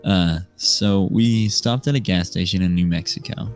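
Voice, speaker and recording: A man of nineteen with an American accent, chatting and telling stories as a podcast guest in a hesitant, unhurried way. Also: low voice. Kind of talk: casual storytelling chat. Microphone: remote voice call microphone. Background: soft music.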